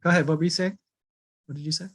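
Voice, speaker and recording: Calm man leading a wellness workshop. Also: fairly high pitch, rapid-fire, breathy edge. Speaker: workshop instructor. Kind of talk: online workshop teaching.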